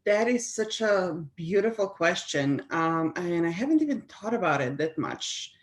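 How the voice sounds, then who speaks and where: Slovenian-accented woman, low and reflective. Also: animated, a little slow. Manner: reflective, with frequent restarts and soft laughs. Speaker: guest speaker. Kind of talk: conversational interview answer.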